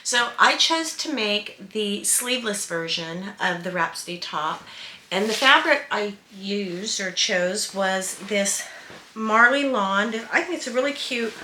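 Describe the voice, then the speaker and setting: A woman in her sixties with a stuffy nose and sore throat, chatting excitedly and casually to camera as a sewing vlogger in her sewing room. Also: mid-range voice, takes it slowly, a little hiss or hum. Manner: chatty, excitable, many fillers. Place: home sewing room, indoors. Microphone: camera at desk distance. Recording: echoey room.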